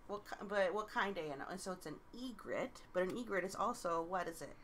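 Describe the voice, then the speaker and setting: A woman's voice: fairly low pitch, animated, fairly quick, a bit bright. A woman casually helping a child read aloud, encouraging and prompting with questions. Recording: clear background noise.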